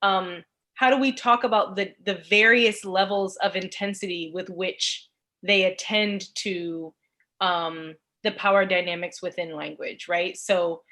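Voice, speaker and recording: A woman's voice: medium pitch, fairly even intonation, unhurried, sharp tone. Thoughtful woman in academic discussion. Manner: thoughtful, searching for words, frequent "like". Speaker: literature course panelist and teacher.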